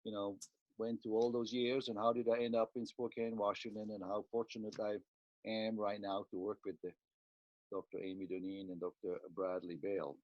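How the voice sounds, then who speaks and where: A man in his sixties from Switzerland with a Swiss accent, a cardiologist giving a halting talk over slides with many fillers and restarts. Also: fairly low pitch, level tone, a little mellow, dry recording. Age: 60s. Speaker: cardiologist guest. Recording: dry, muffled lo-fi sound.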